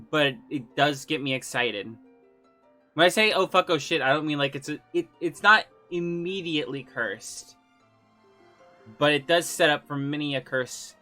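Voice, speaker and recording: A man's voice: fairly high pitch, sharp tone. A man chatting casually with viewers on a gaming livestream, rambling, trailing off and restarting, his throat a little phlegmy. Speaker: game streamer.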